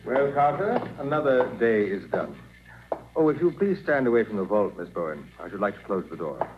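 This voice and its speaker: An actor playing a formal, fussy museum doctor in a 1940s radio drama, speaking precisely and politely. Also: male voice, fairly low pitch, big pitch swings, moderate pace. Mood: guarded, formal. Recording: muffled, faintly noisy 1940s radio recording.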